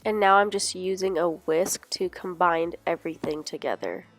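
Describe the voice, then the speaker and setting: A woman who is sick and sounds a little nasal, narrating a cooking tutorial step by step in a relaxed, instructional way. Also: on the low side, moderate pace, faint music behind. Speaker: cooking vlogger.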